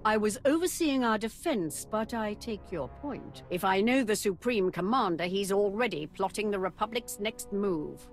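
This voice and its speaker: A woman's voice: mid-range voice, lively intonation, moderate pace, few pauses, close to an everyday voice. An actress voicing an ambitious, bloodthirsty female Sith officer in a video game, relishing battle and scheming. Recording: game audio with music score.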